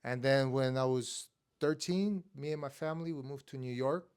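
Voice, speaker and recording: A young man born in Estonia whose first language is Armenian, casually telling stories in an interview with a relaxed, filler-heavy manner. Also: mid-range voice, moderate pace, clean tone. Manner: relaxed, many fillers, acts out his younger self with rising excitement. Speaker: guest, MMA fighter.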